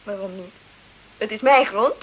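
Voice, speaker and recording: A woman's voice: mid-range voice, moderate pace, slightly rough, close to an everyday voice. An actress playing an emotional, stubborn Italian village wife and mother in a Dutch radio drama. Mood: insistent, defiant. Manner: emotional, pleading, insistent. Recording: dull, lo-fi old radio play recording.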